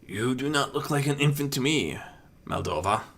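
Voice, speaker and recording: A man voicing a calm, wise, probing otherworldly being in a fantasy story, speaking in a measured and solemn way. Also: mid-range voice, creaky, voice pushed up. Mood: calm, reassuring.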